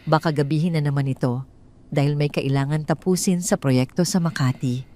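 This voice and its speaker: A woman with a Filipino accent voicing a deceitful, falsely sweet husband in an audio story, casual and smooth in manner. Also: sits low, steady tone, brisk, dark tone, lightly acted. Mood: falsely pleasant.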